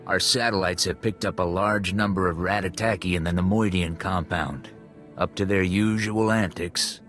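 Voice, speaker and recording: A very deep-voiced male officer in a sci-fi game. He briefs in a grim, businesslike way. Faint music runs underneath. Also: steady tone, normal speed, a bit bright. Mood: grim briefing. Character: grave male military officer briefing on a crisis. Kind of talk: video game cutscene acting.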